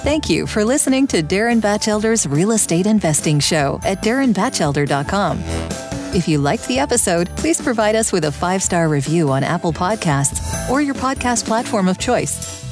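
Outro announcer, female, mid-range pitch, scripted read with music clearly playing underneath. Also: expressive, normal speed. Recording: voice over clear music bed.

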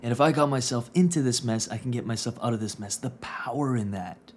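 A man's voice: mid-range voice, fairly quick, darkish tone, a little hiss or hum. A man in his thirties hosting a coaching podcast, talking earnestly and repeating key phrases for emphasis. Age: 30s. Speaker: podcast host and business coach. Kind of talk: casual reflective monologue.

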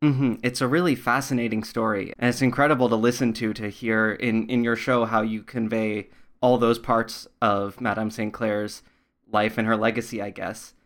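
A man's voice: lowish voice, level tone, moderate pace, a bit bright. A man from New York state interviewing a guest on a podcast in a conversational style. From New York state, USA.

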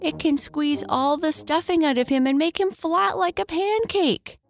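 Woman playing a high-pitched, pleading little boy. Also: a little slow, gravelly, heavily acted. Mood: insistent. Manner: whiny, insistent, exclaiming. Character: small boy, excitable, distressed, pleading over his toy. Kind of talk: children's storybook character voice.

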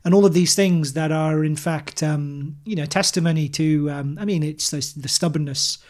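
A man co-hosting a podcast, discussing politics in a measured, hesitant way with many pauses. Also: fairly high pitch, darkish tone. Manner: measured, hesitant, lots of ums. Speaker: podcast co-host.

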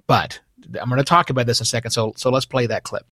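A man's voice: medium pitch, fast talker, a bit bright, no echo. Dry-miked male podcast host. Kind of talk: conversational interview commentary.

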